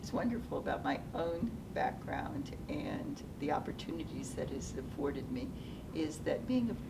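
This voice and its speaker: A woman's voice: animated, creaky. A woman in her seventies, Japanese as her first language, telling her life story thoughtfully, often restarting her sentences. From United States (Seattle). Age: 70s. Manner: thoughtful, halting, with frequent restarts. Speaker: interviewee, retired nonprofit CEO. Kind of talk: reflective personal storytelling. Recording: distant mic with low rumbling noise.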